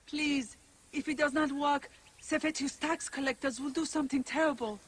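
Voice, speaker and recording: An actor playing an exasperated, scolding villager in a video game, forceful and worried. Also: high voice, steady tone, moderate pace, natural voice. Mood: pleading. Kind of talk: video game cutscene acting.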